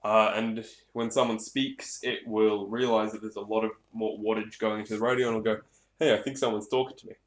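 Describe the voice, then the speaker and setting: A man's voice: lowish voice, flat delivery, fairly quick. A man giving a casual hands-on gear demonstration in a rambling, off-the-cuff way, with some swearing. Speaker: hobbyist presenter. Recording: echoey room, clear background noise, clicks.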